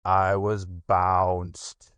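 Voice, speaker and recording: A man voicing a gloomy, sulky old male donkey with a slow, heavy, mournful drawl. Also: sits low, completely flat delivery, a bit bright, clear, resonant tone, no room sound, exaggerated voice. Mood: gloomy, put-upon.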